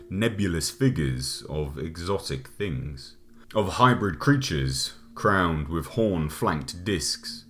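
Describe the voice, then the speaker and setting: A man reads an old gothic tale as an audiobook narrator, solemn and measured, letting his phrases fall away at the ends. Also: deep voice, a bit bright. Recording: music behind the voice. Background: background music.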